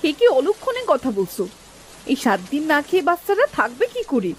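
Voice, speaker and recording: A woman's voice: high-pitched, a little fast. A woman playing a worried, scolding village wife and mother in a cartoon, with rain heard behind her. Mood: worried, reproachful. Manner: nagging, exasperated. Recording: steady rain noise behind voice.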